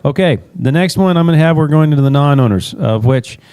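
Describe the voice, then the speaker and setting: A man with a relaxed, mellow voice runs a meeting. He calls up speakers with informal announcements and an offhand, joking manner. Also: fairly high pitch, quick pace, clean tone. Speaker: meeting host introducing candidates.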